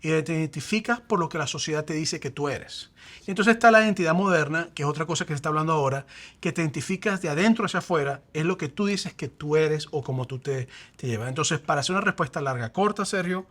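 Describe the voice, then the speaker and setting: A man, a pastor, explaining faith and identity in a lively conversational way, acting out quoted examples. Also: a little high, quick pace.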